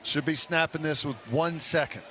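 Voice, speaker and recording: High-voiced color commentator, noisy stadium broadcast. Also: male voice, steady tone, normal speed, pushed and bright. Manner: conversational, explains each play. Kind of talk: live American football analysis.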